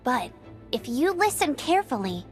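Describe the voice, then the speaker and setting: A woman's voice: sits high, very animated, normal speed, music in the background. A woman voicing a spirited, determined young female knight outrider in a video game, earnest and emphatic. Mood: earnest resolve.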